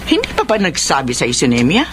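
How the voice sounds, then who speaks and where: Woman doing a fussy older mom in a radio drama, complaining and demanding, pitch moves a lot, faint noise. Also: wildly swooping pitch, fairly quick. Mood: fretful, hinting. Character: fretful, demanding elderly mother of grown children. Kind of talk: radio drama acting in Filipino.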